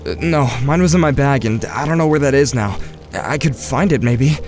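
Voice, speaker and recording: A man playing a watchful, tentative teenage boy, giving measured first-person narration in an audio drama. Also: mid-range voice, darkish tone, faint music behind, lightly acted. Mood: hesitant.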